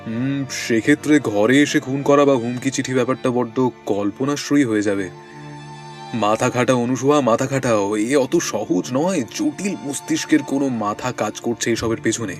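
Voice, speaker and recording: A man playing a calm, shrewd detective in an audio drama, measured and assured in his reasoning. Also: medium pitch, soft background music, natural voice. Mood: pensive, assured.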